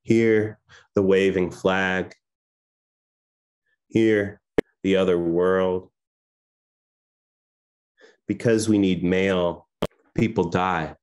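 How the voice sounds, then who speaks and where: Low-voiced man reading poems from home. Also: fairly even intonation, very slow, pauses often, clean tone. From Iran. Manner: soft-spoken, hesitant with many fillers between poems. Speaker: poet. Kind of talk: poetry reading. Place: home room with framed pictures on the wall. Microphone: laptop webcam video call.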